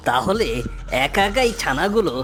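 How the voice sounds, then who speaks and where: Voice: medium pitch, very animated, normal speed, fairly bright tone, faint music behind. An actor voicing a greedy, mocking fox villain in a cartoon, with a taunting, bullying manner. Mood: scheming. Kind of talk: cartoon character dialogue.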